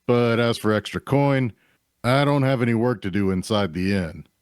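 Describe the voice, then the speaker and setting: An actor playing an easygoing, practical tavern keeper in a fantasy drama read, casual and matter-of-fact. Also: male voice, mid-range voice, moderate pace, dry recording, clean studio sound, natural voice.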